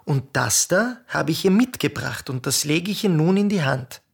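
A performer playing a patient adult son visiting his mother in a radio play, speaking gently and calmly. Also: low voice, normal speed, creaky, slightly rough, heavily acted.

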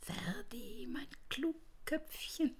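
An actress in a German radio drama playing a middle-aged, distraught and confused mother who speaks in a halting, dazed way. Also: very high-pitched, expressive, whispered, exaggerated voice. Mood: hushed, tender. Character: distraught, confused mother fearing for her soldier son.

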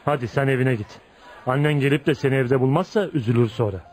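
An actor playing a rough, hot-tempered but loyal tavern brawler with a gruff, blunt way of talking in a radio play. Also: male voice, mid-range voice, quick pace, over music, lightly acted. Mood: gruff, protective. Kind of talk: Turkish radio theatre acting.

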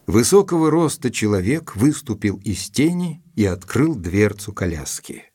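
An actor playing a gentleman narrator of a mystery tale, measured and expressive, in a literary audio drama. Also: on the low side, breathy edge. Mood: sombre, atmospheric. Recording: dry studio with music underneath.

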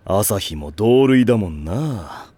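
An actor playing a steady, wry teenage boy team captain who makes dry, amused remarks in an anime drama CD. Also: fairly low pitch, takes it slowly, noisy background.

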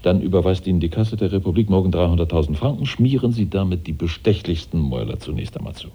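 An actor playing a commanding French revolutionary ruler who gives measured, lordly orders in a German radio play. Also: male voice, low-pitched, animated, warm tone, husky. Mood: grave, commanding. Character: Barras, smooth-talking, commanding French revolutionary head of state.

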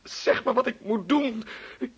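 An actress in a radio drama playing a frightened, cornered young person, halting, pleading and desperate to get away. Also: female voice, fairly high pitch, husky, lo-fi sound. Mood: panicked, pleading.

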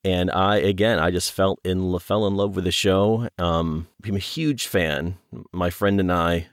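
A man's voice: sits low, steady tone, measured pace, dry recording, clean studio sound. A middle-aged man talking as a guest in a casual interview, chatty, enthusiastic and a little self-deprecating. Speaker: audiobook and audio drama producer, interview guest.

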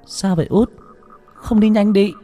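A man's voice: on the high side, sing-song, quick pace, mellow, faint music behind, pitched above the performer's own voice. A man voicing an urgent, protective older sister in a horror story. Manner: urgent, gasping commands.

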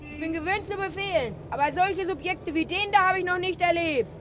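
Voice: extremely high pitch, fairly quick, background noise. A performer playing a bossy, swaggering boy who leads a street gang in a radio play, giving clipped orders. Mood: indignant. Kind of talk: German radio play dialogue.

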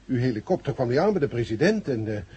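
An actor playing an eager patrolman in a radio play, with a rough, husky voice and a respectful, easygoing manner. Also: mid-range voice, sing-song, quick pace, darkish tone, dull audio. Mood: deferential, eager. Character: deferential, eager uniformed patrolman, easygoing with his partner. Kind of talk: 1970 radio play acting.

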